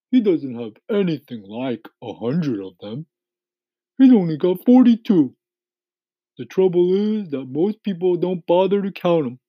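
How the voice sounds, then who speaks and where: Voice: mid-range voice, dry recording, lo-fi sound, voice pushed up. A performer voicing a prim, indignant earthworm from a storybook who grumbles and complains.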